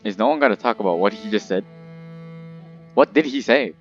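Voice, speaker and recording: A man's voice: lowish voice, brisk, creaky. A man giving casual reaction commentary as he plays a video game. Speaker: gamer commenting over gameplay. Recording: dull lo-fi mic over game music.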